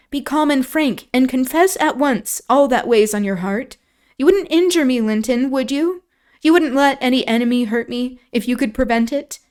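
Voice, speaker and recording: A woman's voice: sits high, darkish tone, pitched above the performer's own voice. A woman reading aloud, voicing a passionate, scornful young woman who scolds. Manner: scolding, impassioned.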